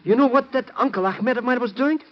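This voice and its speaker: An actor playing an excitable, cowardly small-time hustler who stammers out slang, in 1950s radio drama style. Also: male voice, extremely high pitch, fairly quick, slight background noise. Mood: greedy, rambling. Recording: muffled vintage radio broadcast.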